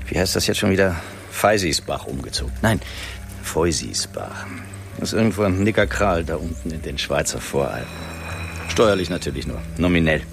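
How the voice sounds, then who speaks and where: Low rough-voiced smug money guy bragging to his buddies. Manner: bragging, conspiratorial. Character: boastful male financier, cynical and gleeful. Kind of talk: radio play acting.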